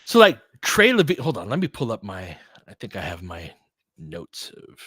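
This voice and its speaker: A man's voice: lowish voice, wildly swooping pitch, moderate pace, bright, forceful tone, husky, no echo, clean studio sound. A man doing informal basketball play-by-play as a host, chatting conversationally and thinking aloud. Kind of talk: casual basketball commentary mixed with chat replies.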